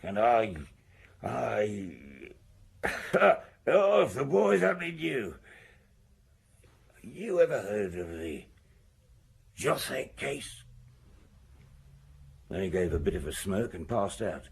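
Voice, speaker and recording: An actor playing a shifty small-time crook and ex-sailor in a radio crime drama, talking in a rambling, colloquial way. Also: male voice, lowish voice, sing-song, takes it slowly, pauses often, heavily acted. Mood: rambling, confiding. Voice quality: husky, gravelly. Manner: rambling, hesitant, colloquial storytelling.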